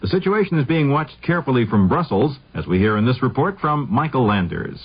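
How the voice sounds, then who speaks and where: A man's voice: medium pitch, sing-song, a little fast, slightly rough. A man anchoring a scripted radio news bulletin, heard on a muffled vintage 1970s broadcast.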